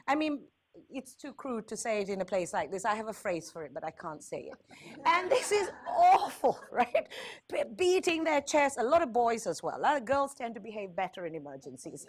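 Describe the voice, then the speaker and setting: A woman's voice: on the high side, very animated, moderate pace. A woman with a Sri Lankan accent presenting at a conference, candid and wry, stressing points with repetition and checking in with short tag questions. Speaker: health agency speaker, risk communication expert.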